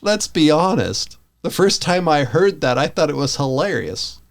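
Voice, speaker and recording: A man's voice: fairly high pitch, very animated, moderate pace, gravelly. An American man co-hosting a podcast, chatting casually with a bantering, teasing manner. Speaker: podcast co-host. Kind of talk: casual chat.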